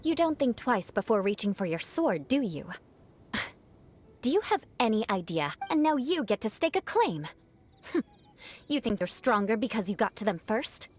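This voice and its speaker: A woman's voice: a little high, very animated, moderate pace. Proud, vengeful young noblewoman knight for an anime game: formal, composed, lilting and theatrical. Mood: haughty, composed.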